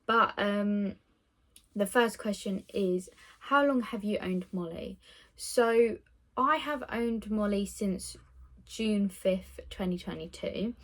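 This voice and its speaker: A young woman of nineteen talks to her phone camera in a loose, rambling way, full of ums and small laughs at herself. Her voice sits at a middling pitch. It is an easy, unscripted home vlog recorded in a room with the window open. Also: slow. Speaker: vlogger. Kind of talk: casual Q&A vlog.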